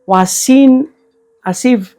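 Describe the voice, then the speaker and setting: An older man, a guest elder recalling the past in slow, measured speech. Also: very high voice, a little mellow. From Kenya. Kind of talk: reflective storytelling interview.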